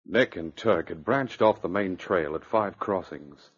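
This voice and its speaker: A man's voice: low-pitched, expressive, a little slow. A man narrating an adventure serial in an earnest, suspenseful, declamatory old radio style. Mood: grave suspense. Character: earnest male storyteller of a northern adventure serial. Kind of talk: dramatic 1940s-50s radio narration. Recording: dull, hissy vintage radio recording.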